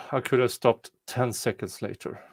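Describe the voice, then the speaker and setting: A man's voice: medium pitch, steady tone, no room sound. A man with a rural Swedish accent co-hosting casual commentary on trial footage, speaking hesitantly and drily. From Sweden. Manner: slow, hesitant, dry remarks. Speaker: co-host reacting to trial footage.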